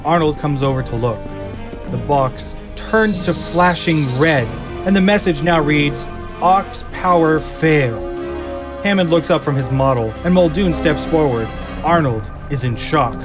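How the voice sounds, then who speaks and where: A man narrating a scripted audio drama in a measured, descriptive manner. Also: fairly high pitch, music in the background.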